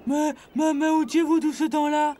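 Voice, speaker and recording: A man voicing an excitable, boastful animal sidekick in a French cartoon dub. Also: very high-pitched, moderate pace, slight background noise. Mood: excited.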